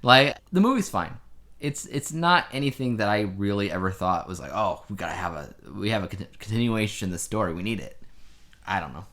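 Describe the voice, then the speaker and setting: Low-voiced man, casual podcast co-host. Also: lively intonation, a little fast, a bit bright. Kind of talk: casual chat about entertainment news.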